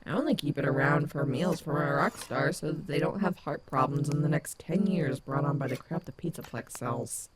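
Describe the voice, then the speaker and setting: A woman's voice: low-pitched, moderate pace, hardly any pauses, background noise, lightly acted. A woman playing a sardonic but protective animatronic wolf rock star in a fan audio roleplay, blunt and affectionate. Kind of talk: fan audio roleplay, soft intimate acting.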